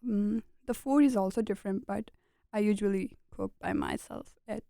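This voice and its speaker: Pakistani woman with a gravelly mellow voice. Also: medium pitch, normal speed, no room sound, clean studio sound. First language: a regional language of Pakistan. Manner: earnest, short plain sentences, laughs often. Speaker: graduate student guest. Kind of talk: casual interview chat.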